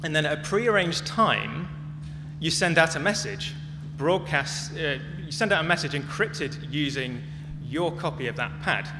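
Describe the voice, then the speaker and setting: A man giving a prepared talk as a conference speaker, phrasing it in short, measured, emphatic chunks. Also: fairly high pitch, expressive. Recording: long echoey reverb, clearly noisy with hum.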